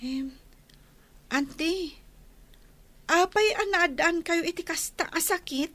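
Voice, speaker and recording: An actress playing a devoted, anxious young daughter, respectful and pleading, in a radio drama. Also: female voice, very high-pitched, slow pace, a bit bright, exaggerated voice. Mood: puzzled, obedient. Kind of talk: Ilocano radio drama acting.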